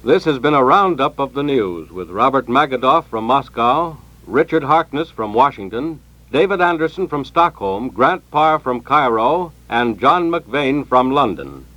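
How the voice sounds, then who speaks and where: A man of medium pitch announces the news with lively, swinging intonation. The delivery is scripted, in the manner of a 1940s radio network announcer. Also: unhurried, few pauses, dry recording, rough recording.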